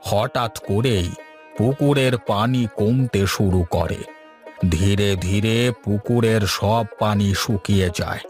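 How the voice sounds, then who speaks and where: A man voicing a sorrowful old village grandfather, telling his tale slowly and gravely in a cartoon moral story. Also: lowish voice, darkish tone, faint music behind. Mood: nostalgic, grave.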